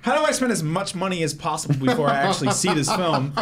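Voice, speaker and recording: A man chatting casually on a pop-culture panel in an animated, joking, sarcastic way. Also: fairly high pitch, quick pace. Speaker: podcast panelist.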